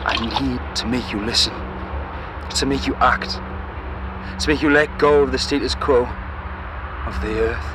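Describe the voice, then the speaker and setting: An actor playing a desperate young zealot in an audio drama, speaking a solemn, halting, earnest last testament. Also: male voice, mid-range voice, steady tone, close to an everyday voice. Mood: solemn, faltering. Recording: noisy outdoor city traffic ambience.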